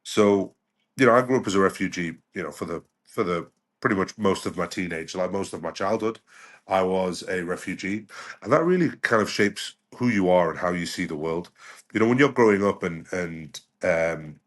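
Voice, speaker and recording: Low-voiced middle-aged man, reflective. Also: expressive, moderate pace. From United Kingdom. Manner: reflective, with frequent "you know" and restarts. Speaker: guest doctor. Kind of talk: conversational podcast interview.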